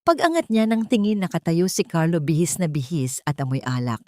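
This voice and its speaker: Female storyteller for scripted dramatic audio fiction. Lower register, close dry sound. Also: big pitch swings, fairly quick, a little mellow, dry recording.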